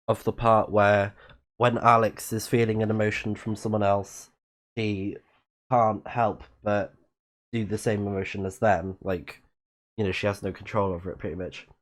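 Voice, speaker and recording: Low-voiced man chatting through a game stream. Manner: rambling, chatty asides. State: blocked-up nose. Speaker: live-streaming let's play host. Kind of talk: casual game commentary, reading in-game messages aloud.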